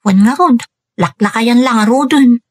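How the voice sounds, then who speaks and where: A woman's voice: a little high, normal speed, slightly rough. A woman playing a troubled young daughter working overseas, in a radio drama with a Filipino accent. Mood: troubled, complaining. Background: music behind the voice.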